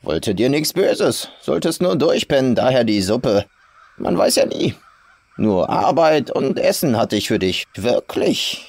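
A man's voice: mid-range voice, big pitch swings, measured pace, vocal fry, a little mellow, no echo, pitched above the performer's own voice, full character voice. A man voicing a sinister old farm woman in a horror audiobook, folksy and coolly menacing in clipped sentences. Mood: mock-regretful, menacing. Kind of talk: audiobook horror story character.

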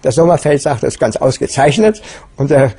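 A man recounts events informally in an interview. His voice is extremely husky and full of creak, with a fairly mellow, dark tone. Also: medium pitch, animated, fairly quick. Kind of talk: unscripted anecdote in an interview.

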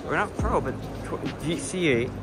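A man's voice: on the high side, expressive, moderate pace. A man filming himself as he walks a large indoor car show, giving casual, enthusiastic commentary on the builds with light swearing. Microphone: handheld camera, filming point of view. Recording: loud music behind, busy hall.

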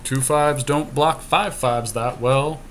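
A man's voice: medium pitch, steady tone, takes it slowly. A man giving casual, matter-of-fact commentary on a game as a podcast co-host, now and then mildly profane. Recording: noisy amateur recording.

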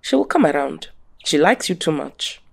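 A woman voicing a dignified mutant leader who speaks formally and gently, in an audio drama. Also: sits low, sing-song, normal speed, vocal fry, breathy edge, exaggerated voice.

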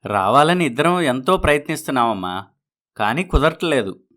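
Guy as grown son in a family story, medium pitch, polite, placating, apologetic. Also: sing-song, moderate pace, sharp tone. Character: dutiful adult son living abroad, apologetic, placating.

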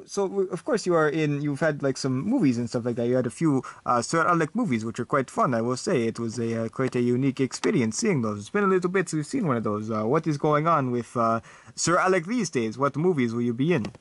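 A man voicing a vampire talk show host who is fussy, excitable and hesitant, in a comedy stop-motion show. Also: mid-range voice, a little fast, close to an everyday voice. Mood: chatty.

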